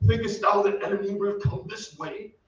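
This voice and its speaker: High-voiced theatrical old ghost played by a man. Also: completely flat delivery, a little fast, natural voice. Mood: grandly fussing. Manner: theatrical, archaic speech. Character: fussy old aristocratic ghost uncle, archaic and cowardly. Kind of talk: old-time radio drama acting. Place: theatre stage. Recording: roomy, distant, dull, faintly noisy stage recording.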